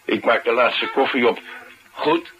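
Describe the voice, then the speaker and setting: An actor playing a streetwise, talkative driver, confiding and musing in a colloquial radio drama style. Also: male voice, medium pitch, a little slow, muffled recording, natural voice. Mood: wry, knowing. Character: streetwise, talkative male driver, wry and brooding. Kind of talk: naturalistic radio drama acting. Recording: noisy radio drama recording.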